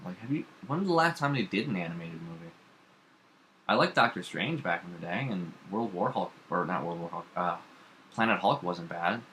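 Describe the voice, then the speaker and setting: A man with a fairly low voice and a bright, forceful edge co-hosts an informal pop culture talk. He rambles through his opinions with emphasis. Some background noise and room ambience sit behind him. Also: animated, moderate pace, low-quality recording. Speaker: podcast co-host. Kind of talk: casual opinionated chat about pop culture.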